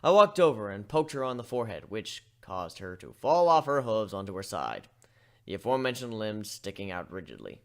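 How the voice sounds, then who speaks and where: A man giving an amateur dramatic reading of a first-person story, expressive and shifting between narration and character voices. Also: fairly low pitch, a little fast.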